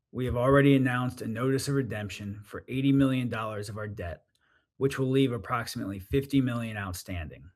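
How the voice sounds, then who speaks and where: Male CFO, mid-pitched, methodical and formal, reading scripted financial results for investors. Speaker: chief financial officer.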